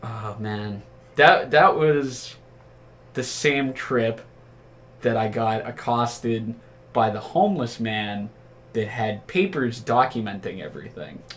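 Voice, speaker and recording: A man's voice: mid-range voice, lively intonation, slow, a bit bright. A man telling casual anecdotes among friends in a rambling, profane, incredulous way. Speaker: storyteller.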